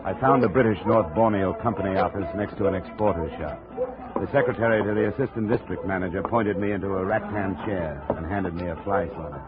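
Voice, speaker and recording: An actor playing a tough, blunt American insurance investigator in a 1950s radio drama, terse and no-nonsense, with an American accent. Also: male voice, deep voice, brisk, lightly acted. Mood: weary narration. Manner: terse, no-nonsense, hard-boiled. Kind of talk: 1950s radio detective acting. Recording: muffled, faintly noisy vintage radio recording.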